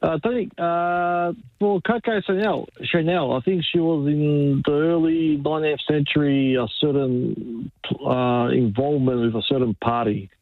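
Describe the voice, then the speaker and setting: A man's voice: fairly high pitch, a little slow, few pauses, no room sound. A man calling in to a talk radio show, blunt and argumentative. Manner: blunt, argumentative, loud at times. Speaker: caller. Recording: tinny phone line.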